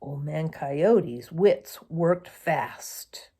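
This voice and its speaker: A woman reading a children's story aloud in an expressive, deliberate storytelling manner with varied emphasis. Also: low-pitched, slightly rough.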